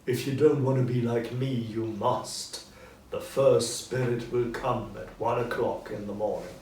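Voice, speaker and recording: A man's voice: medium pitch, fairly even intonation, a little mellow, natural voice. A man voicing the anguished, regretful ghost of a dead businessman in a storybook reading, with solemn, emphatic delivery. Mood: solemn warning. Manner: slow, solemn, emphatic declamation. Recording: roomy echo, distant mic, background noise.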